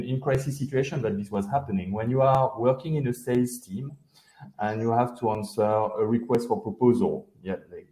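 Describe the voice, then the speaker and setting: A man's voice: medium pitch, dark tone. A man in his fifties from France with a French accent, explaining his background in a video call interview with frequent hesitations. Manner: explanatory, many hesitations and restarts. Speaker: guest, company founder. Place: indoor home office. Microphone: headset. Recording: roomy video call audio.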